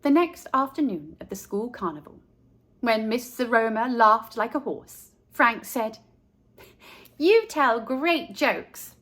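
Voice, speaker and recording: A woman's voice: fairly high pitch, lively intonation, measured pace, a bit bright. A woman narrating a children's story, reading aloud expressively as a storytime narrator.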